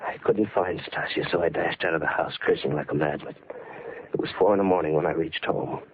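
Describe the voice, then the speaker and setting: A man's voice: rumbling low, brisk, a little hiss or hum. An actor playing a haunted, desperate man telling of his descent into madness, in intense 1940s radio mystery style. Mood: haunted narration. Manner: dramatic, brooding, intense. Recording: tinny vintage radio recording with music behind.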